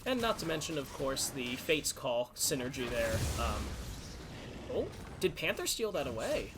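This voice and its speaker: A man casting an esports match with relaxed, hesitant commentary and easy laughter. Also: medium pitch, a little slow, over music. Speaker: esports caster. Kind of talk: play-by-play commentary. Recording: faint background noise.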